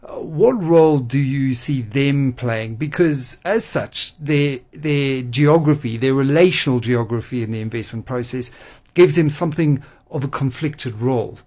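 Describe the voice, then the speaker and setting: A man interviewing on radio in a measured, articulate, formal way about finance. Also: medium pitch, darkish tone, lo-fi sound.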